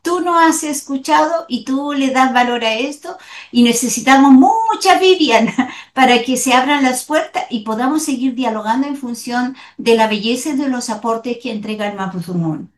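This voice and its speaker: A woman guest speaking earnestly and deliberately in a conversational interview. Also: high-pitched, roomy sound.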